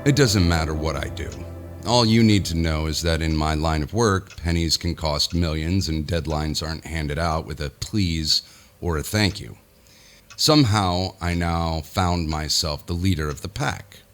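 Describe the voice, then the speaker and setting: A man's voice: a bass voice, a little slow. An actor playing a weary, self-important businessman who confides his nightmare theatrically in a horror audio drama. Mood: brooding, ominous. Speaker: lead actor narrating in character. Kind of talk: dramatic first-person audio horror narration.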